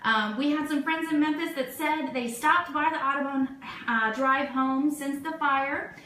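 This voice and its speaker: A woman's voice: high voice, unhurried, sharp tone. A woman hosting a casual live webcast, chatting informally through news for her listeners. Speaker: radio show host. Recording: roomy echo, faint background noise.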